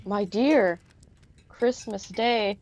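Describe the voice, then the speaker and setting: A teenage woman acting a gentle, loving poor father in a radio play, mild and earnest. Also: medium pitch, drawn-out words. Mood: gently pleading.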